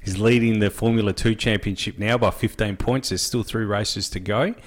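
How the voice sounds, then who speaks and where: A man's voice: fairly low pitch, steady tone. A man hosting a casual sports podcast in a small studio, chatty and wry. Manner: chatty, wry, quick to agree. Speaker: podcast host.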